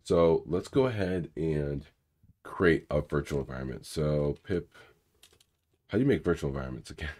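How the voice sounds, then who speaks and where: A man hosting a casual live coding session. He is sick, and his naturally low, rumbly voice sounds raspier than usual. He rambles through the work with many fillers and mutters to himself. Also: moderate pace, a little mellow. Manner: rambling, filler-heavy, mutters while clicking around. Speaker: cybersecurity channel host. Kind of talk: casual live coding walkthrough, reading viewer questions.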